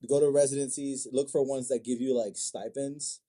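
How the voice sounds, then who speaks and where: A man from Senegal speaks with a very dark, soft-edged tone at a middle pitch. He is a relaxed, slangy guest who readily agrees and echoes his short replies. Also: normal speed. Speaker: guest, manga creator. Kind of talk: casual chat. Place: own art studio.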